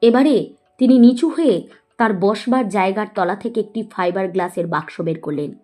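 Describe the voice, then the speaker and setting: A woman's voice: mid-range voice, normal speed, mellow, faint music behind. A woman reading scripted narration for a suspense audio story.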